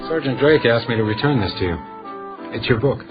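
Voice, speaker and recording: A man playing a calm, wry house detective in a radio drama, with measured, understated delivery. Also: medium pitch, dull audio, music in the background, natural voice. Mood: gentle, hesitant.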